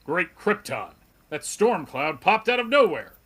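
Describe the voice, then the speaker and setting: A man's voice: sits high, sing-song, a little slow, fairly bright tone, slightly rough, exaggerated voice. A man playing an earnest, alert superhero, speaking in exclamations in a dramatic table read of a comic book. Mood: alarmed, determined. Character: earnest, alert adult male flying superhero.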